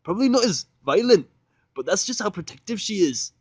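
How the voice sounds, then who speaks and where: A man's voice: high voice, sing-song, a little fast, darkish tone, husky, dry recording, voice pushed up, full character voice. A man voicing a sweet, earnest young female pony who talks hesitantly and picks words with care. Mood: careful, earnest. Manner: tentative, choosing words carefully.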